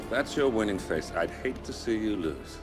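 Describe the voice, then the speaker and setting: Music plays clearly behind a low male voice. It is a man playing a pragmatic, distrustful partner to an assassin in a video game cutscene, speaking in a deep register. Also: expressive, moderate pace, natural voice. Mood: dry, defiant. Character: wary, pragmatic male handler of a hired assassin.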